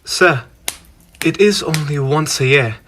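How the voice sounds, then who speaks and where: A man's voice: on the high side, expressive, takes it slowly, a bit bright, a little hiss or hum. A young man playing a polite, meek clerk on a school stage, deferential and heard from a distance. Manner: deferential, saying sir often. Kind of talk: school stage play acting.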